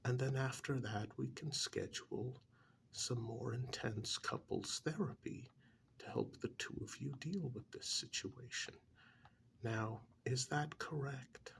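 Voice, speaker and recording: A man playing a calm, probing couples therapist in a soft-spoken ASMR role-play, measured and deliberate with a breathy voice, close to the microphone. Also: medium pitch, slight background noise. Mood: calm, frank. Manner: measured, deliberate, pausing between short phrases.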